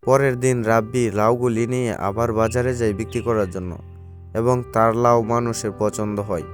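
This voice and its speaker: A man's voice: medium pitch, fairly even intonation, normal speed. A man reading scripted storybook narration for a cartoon. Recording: faint music behind the voice.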